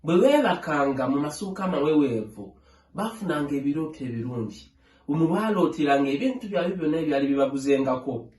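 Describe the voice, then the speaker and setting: A man narrating a children's story aloud in a measured, phrase-by-phrase read-along style. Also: a little high, lively intonation, a little mellow. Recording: echoey room.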